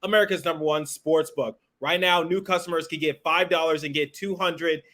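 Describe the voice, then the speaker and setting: A man's voice: on the high side, steady tone, a little fast, a bit bright. A man hosting a sports podcast, talking in a conversational style. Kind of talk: conversational interviewing.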